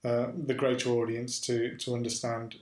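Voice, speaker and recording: A man hosts a podcast interview, speaking in an even, level tone with little rise and fall. He rambles earnestly and often restarts his sentences. His voice rings in a roomy, echoey space. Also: medium pitch, moderate pace. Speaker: podcast host. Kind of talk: casual interview conversation. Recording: roomy echo.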